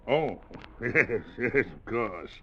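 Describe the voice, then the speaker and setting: Formal, animated sea captain. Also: male voice, mid-range voice, drawn-out words, husky, exaggerated voice. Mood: brisk, curt. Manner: formal, authoritative. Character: brisk, controlling, aloof newlywed sea captain. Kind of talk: old-time radio drama acting. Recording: dull old radio recording.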